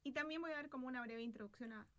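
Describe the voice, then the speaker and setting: A woman's voice: sits high, racing, fairly bright tone. A woman with a Bolivian accent speaking as a guest poet in a casual, conversational interview. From Santa Cruz, Bolivia.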